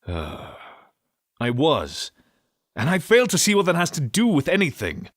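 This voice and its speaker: An actor playing a proud, easily offended inventor god in a fantasy audio drama, huffy and defensive. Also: male voice, fairly high pitch, wildly swooping pitch, normal speed, dry recording, studio quality.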